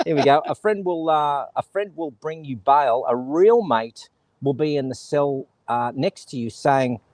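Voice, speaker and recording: A man's voice: fairly high pitch, lively intonation, unhurried, darkish tone, no echo. A man with an Australian accent hosting a casual conversation, joking, laughing often and reading out viewer comments. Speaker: host. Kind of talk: casual chat.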